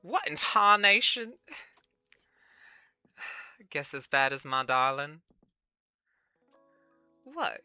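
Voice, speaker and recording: Very bright forceful voice, livestream host, put-on Southern Belle accent, folksy drawl, lively pitch, faint music behind. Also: dry recording. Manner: folksy Southern Belle drawl with terms like sugar, honey and y'all. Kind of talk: casual livestream chat reading and answering viewers' comments.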